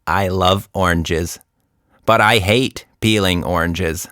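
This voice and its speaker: A man's voice: low voice, slow, a bit bright, no echo, studio quality. Man as a fussy, chatty magical monkey. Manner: rambling, particular, conversational.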